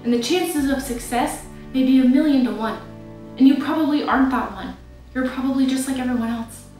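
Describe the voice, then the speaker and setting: High-voiced young woman, very echoey. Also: fairly quick, darkish tone, soft background music. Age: young adult. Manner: motivational, emphatic. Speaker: graduating student speaker. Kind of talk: prepared commencement speech. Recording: very echoey room.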